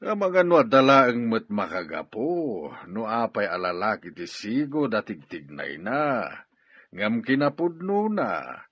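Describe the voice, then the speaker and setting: Man as a low, lively gossiping friend. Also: measured pace, husky, exaggerated voice. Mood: teasing, animated. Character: gossiping young male friend of Tom. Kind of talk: Ilocano audio drama acting. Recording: dull, lo-fi recording.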